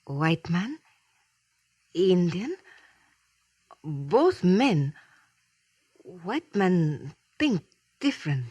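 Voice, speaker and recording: An actress playing an earnest, quietly determined young woman speaking halting broken English in a husky voice in a 1950s radio drama. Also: fairly low pitch, very animated, no room sound, full character voice. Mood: earnest, grateful.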